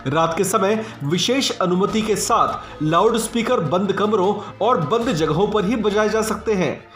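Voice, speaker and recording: A man's voice: sits high, fairly bright tone. A man presenting scripted news narration with an emphatic, rhetorical delivery. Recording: roomy voice-over with music behind. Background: music.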